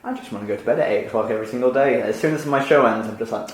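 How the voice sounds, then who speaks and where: A man's voice: medium pitch, rapid-fire. Chatty rambling man in an echoey room. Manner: rambling, lots of fillers and restarts, laughs easily. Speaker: podcast host. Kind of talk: casual chat.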